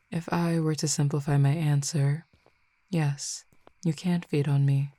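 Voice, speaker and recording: A woman voicing a composed, formal supernatural cupid roommate who speaks in precise, measured words and teases gently. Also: low-pitched, level tone, dry recording. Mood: curious. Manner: precise, formal wording, measured and unhurried, soft asides. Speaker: voice actor in an audio roleplay. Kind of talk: audio roleplay addressed to the listener.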